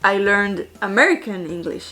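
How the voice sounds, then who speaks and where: A woman from France with a French accent, chatting casually as a vlogger, bubbly and halting with fillers. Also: mid-range voice, lively intonation, sharp tone. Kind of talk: casual chat.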